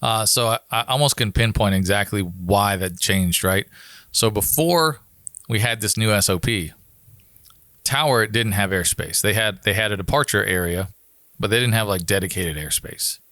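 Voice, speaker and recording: A man's voice: fairly low pitch, normal speed, a bit bright, no room sound, clean studio sound. An American man talking casually and informally, explaining how his work as an air traffic controller goes, like a guest on a podcast.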